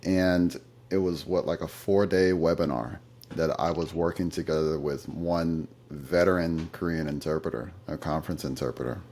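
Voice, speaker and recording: A man's voice: a bass voice, moderate pace, a little mellow. A man being interviewed about his work as an interpreter, telling anecdotes in a thoughtful, conversational way with some fillers. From United States. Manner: thoughtful storytelling, occasional fillers.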